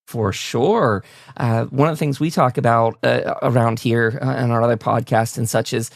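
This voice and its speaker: A man's voice: medium pitch, steady tone, moderate pace, gravelly, no room sound. Enthusiastic male host, close dry sound. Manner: enthusiastic, effusive. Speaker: talk show host. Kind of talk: casual interview hosting.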